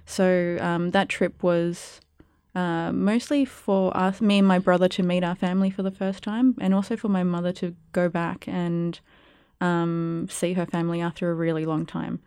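A woman's voice: fairly low pitch, level tone, normal speed, darkish tone, clean tone, dry recording, clean studio sound. A young Australian woman, a guest whose first language was Spanish, answering interview questions in a reflective way with many ums and likes. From Perth, Western Australia. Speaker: guest, arts curator and producer. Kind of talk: casual interview.

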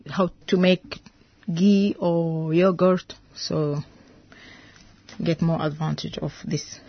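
Low, slow-spoken Sudanese woman. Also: fairly even intonation, creaky, muffled recording. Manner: halting, searching for words. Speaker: interview guest, veterinarian. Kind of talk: radio interview.

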